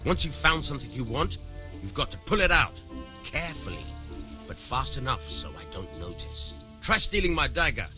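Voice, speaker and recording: An actor playing a plain-spoken, shady medieval village miller with a folksy, dry manner in a video game. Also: male voice, medium pitch, big pitch swings, normal speed, music in the background, natural voice. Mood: instructive. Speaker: game character, miller who teaches thieving. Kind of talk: acted game dialogue.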